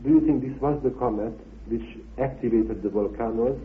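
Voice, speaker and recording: Male documentary interviewer, medium-pitched, posing questions over a thin, lo-fi recording. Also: moderate pace. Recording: narrow phone-like, lo-fi radio recording.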